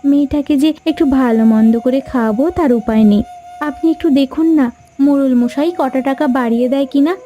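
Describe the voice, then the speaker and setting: A woman voicing a poor, gentle, worried village mother in a fairy tale cartoon, speaking in a caring manner. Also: high-pitched, fast talker, highs cut off, natural voice. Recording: music behind the voice.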